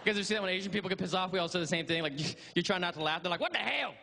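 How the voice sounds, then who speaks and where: High-voiced energetic stand-up comic. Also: male voice, creaky. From born in Vietnam, raised in America. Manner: energetic, fast riffing with laughs. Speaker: stand-up comedian. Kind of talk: stand-up comedy set. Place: comedy stage before a live audience. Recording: loud crowd and room noise.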